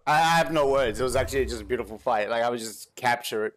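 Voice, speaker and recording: A man's voice: medium pitch, fast talker, fairly bright tone. A man giving casual, slangy gameplay commentary, talking to himself and to the game as he plays. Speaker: gamer recording a playthrough. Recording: game sound clearly behind voice. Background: video game ambience and effects.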